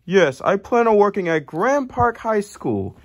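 A man's voice: high voice, sing-song, measured pace, no room sound, heavily acted. A man voicing an eager, overly polite young woman helper in an improvised roleplay. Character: cheeky girl staff helper.

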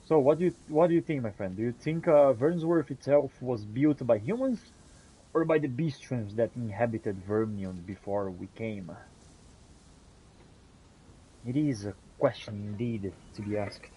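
A man's voice: mid-range voice, normal speed, dark tone, a little hiss or hum, close to an everyday voice, low-quality recording. A man role-playing a musing, companionable fantasy adventurer in a video game, speaking in theatrical, archaic phrasing as he comments on his journey. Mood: inquisitive. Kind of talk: in-character gameplay commentary.

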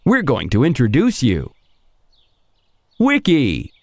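Wildly swooping cheerful cartoon gadget. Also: male voice, medium pitch, huge pitch swings, slow, creaky, darkish tone, no room sound, exaggerated voice. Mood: cheerful, announcing. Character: celebrating talking male gadget, cheerful navigator from a magic bag. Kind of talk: children's cartoon dialogue.

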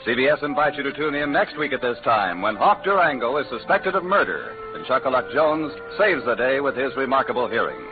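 A man's voice: medium pitch, animated, fairly quick, hardly any pauses. A man with an American accent reading scripted credits as a 1940s radio announcer. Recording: old phone-like radio broadcast recording. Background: music.

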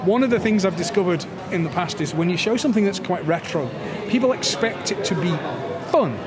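A man's voice: high voice, lively intonation, fairly quick, over music. Older man interviewing amid crowd chatter. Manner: rambling, many restarts. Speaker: festival interviewer. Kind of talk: casual interview. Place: festival media wall, indoors, busy. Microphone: handheld mic. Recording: noisy crowd around.

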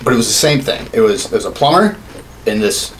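A man's voice: mid-range voice, big pitch swings, fairly quick, a bit bright, rough recording. A man in his thirties chatting casually and bluntly as a podcast co-host, swearing freely as he gives his opinions. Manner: blunt, profane. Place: small home studio room. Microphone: podcast mic on desk boom arm. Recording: clearly audible background noise.

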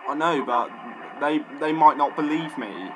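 A man making a prank phone call, deadpan and persistent, needling with repeated questions. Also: a little high, measured pace, background noise. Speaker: prank caller.

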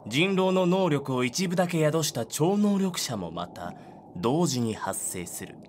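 A man's voice: a little high, big pitch swings, unhurried, darkish tone, slight background noise. A man reading scripted narration for an audio drama.

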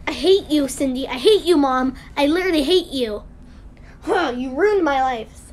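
A woman's voice: sits high, animated, moderate pace, darkish tone, slight background noise. A woman voicing a mean, spoiled, sneering older sister in an amateur game roleplay drama. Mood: outraged. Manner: snappy, scornful.

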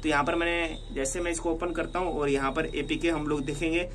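A man's voice: fairly high pitch, fairly even intonation, a little fast, fairly bright tone, slight background noise. A man with an Indian accent narrating a casual hands-on tech tutorial, explaining repetitively and mixing in English words. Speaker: tech vlogger demonstrating a phone feature.

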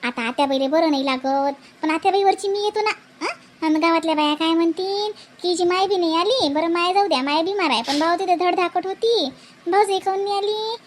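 Woman acting a shrill, pushy young village wife. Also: fairly quick, a little hiss or hum. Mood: pleading. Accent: Vidarbha Marathi. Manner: insistent, pleading, repetitive. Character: pushy, touchy village daughter-in-law in a comedy cartoon. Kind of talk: exaggerated cartoon family comedy.

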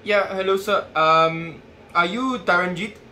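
A young man in his twenties with a high, bright voice makes a prank call in earnest-sounding tones. He speaks in hesitant, stop-and-start phrases. Some background noise sits under his voice. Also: measured pace. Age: 20s. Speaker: prank caller. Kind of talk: prank phone call with feigned seriousness. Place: small plain room indoors.

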